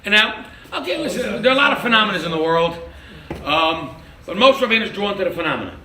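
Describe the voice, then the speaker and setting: An older man teaching a lively interactive Torah class as a rabbi, quizzing his audience and joking. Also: high-pitched, fast talker. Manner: animated, quizzes the audience, jokes. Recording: echoey room from far off with noise.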